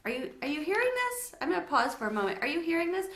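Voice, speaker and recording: Bright-voiced woman reading a storybook aloud. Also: very high-pitched, big pitch swings, quick pace. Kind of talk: expressive children's storybook read-aloud with asides to listeners. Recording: echoey untreated room.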